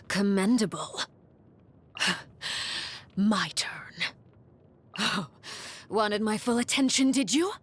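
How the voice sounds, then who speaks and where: A woman voicing a cold, composed and menacing female commander in a video game, speaking in a measured, formal way with soft, breathy phrase endings. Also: mid-range voice, lively intonation, exaggerated voice. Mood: cool, poised. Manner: measured, formal, controlled delivery. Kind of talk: scripted video game character voice lines.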